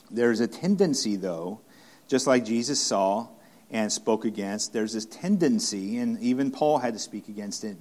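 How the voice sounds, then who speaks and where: A man preaching an expository sermon, speaking haltingly with many restarts, fillers and repeated phrases for emphasis. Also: lowish voice, a little mellow. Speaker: pastor.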